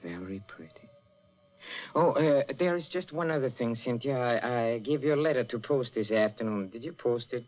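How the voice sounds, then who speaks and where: An actor playing a jealous middle-aged husband in an old-time radio drama, speaking with mock tenderness and a halting, toying menace. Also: male voice, medium pitch, lively intonation, natural voice. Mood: sly probing. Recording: muffled old radio recording.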